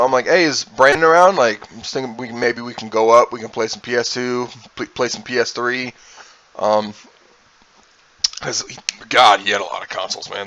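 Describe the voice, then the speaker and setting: A man's voice: mid-range voice, a bit bright, dull audio, a little hiss or hum. A man, a streamer, telling a personal story casually in a rambling way, acting out bits of dialogue as he goes. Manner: rambling, acts out quoted dialogue, mild profanity.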